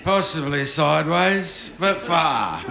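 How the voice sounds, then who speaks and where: A man's voice: on the high side, animated, slow, vocal fry, sharp tone, faint music behind, heavily acted. An actor playing a tipsy, mischievous old grandfather who narrates his family tale wryly in a live radio play. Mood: tipsy, jovial. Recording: roomy live stage, faint noise.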